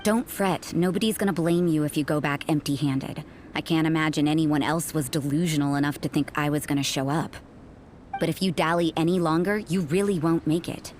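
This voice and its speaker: A woman playing a cool, sardonic church sister in a game, low-pitched and cutting. Her remarks are dry and mocking, with a faint hiss behind. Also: a little fast, a little mellow, lightly acted. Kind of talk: video game cutscene dialogue.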